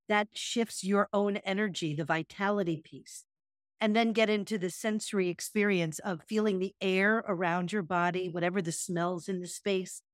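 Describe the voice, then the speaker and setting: A woman's voice: medium pitch, no echo, clean studio sound. A woman co-hosting a casual talk show, musing thoughtfully with frequent restarts and then guiding a yoga sequence. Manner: thoughtful, searching, with many restarts. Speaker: podcast co-host and yoga teacher. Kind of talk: casual reflective conversation.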